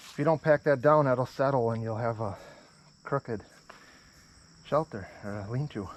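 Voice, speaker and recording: A man talking casually through a hands-on building job as he works outdoors, plainspoken and offhand, like a DIY vlogger. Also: medium pitch. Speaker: builder filming a DIY construction project. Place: outdoors at a rural building site. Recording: muffled lo-fi outdoor audio, loud background noise.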